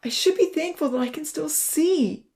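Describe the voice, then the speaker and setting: A woman voicing an anguished young male burn survivor, humble and guilt-ridden, halting with a breathy, choked voice. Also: sits high, expressive, darkish tone, voice pushed up. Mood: tearful, embarrassed. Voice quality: breathy, choked voice breaking into sobs. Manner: halting, pleading, confessional.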